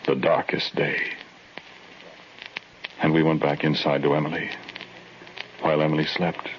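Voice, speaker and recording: A low-voiced, solemn survivor. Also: male voice, little pitch movement, measured pace, slight background noise. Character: Adam, earnest male survivor narrating his grim memories. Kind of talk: 1940s radio drama acting. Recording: muffled old radio recording with music.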